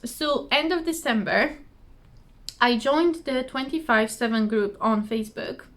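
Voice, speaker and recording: Woman, slightly high voice, hoarse, giggly, rambling, casual craft vlog, at home. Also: unhurried, fairly bright tone. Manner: giggly, rambling, self-deprecating. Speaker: cross-stitch vlogger. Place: indoors at home, trees outside behind her.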